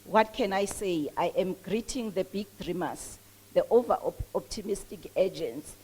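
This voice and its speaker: A woman's voice: on the low side, moderate pace, warm tone, slightly rough. A South African woman with a Mpumalanga Swati accent, giving a chatty, self-deprecating launch speech with many restarts.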